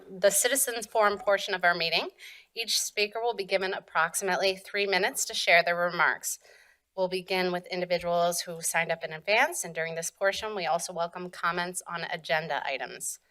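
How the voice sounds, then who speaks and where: A woman's voice: on the low side, brisk, bright, forceful tone. A woman chairing a township board meeting, reading procedure politely and steering speakers with courteous apologies into a desk microphone.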